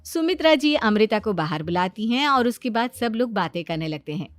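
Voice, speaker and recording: A woman's voice: fairly high pitch, big pitch swings, brisk, no echo, faint music behind. A woman giving scripted narration as the narrator of a story.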